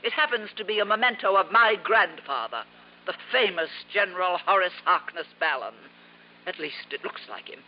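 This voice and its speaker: A woman's voice: on the low side, lively intonation, moderate pace, natural voice. A woman playing an imperious, possessive older aunt in a 1950s radio drama, haughty and theatrical in manner. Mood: haughty, cutting. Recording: dull, noisy vintage radio recording.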